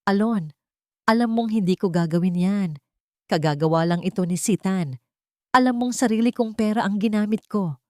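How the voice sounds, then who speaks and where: A woman's voice: mid-range voice, normal speed, darkish tone, no room sound, studio quality, natural voice. A woman voicing a wrongly accused young wife, shocked, hurt and defiant, in a dramatized audio story. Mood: shocked, defensive.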